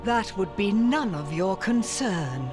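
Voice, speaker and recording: Video game villainess: grand, sly sorceress, haughty, unhurried, highly expressive. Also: female voice, over music. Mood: haughty menace. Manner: haughty, drawn-out, theatrical delivery. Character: imperious, scheming evil sorceress, sly and grandiose.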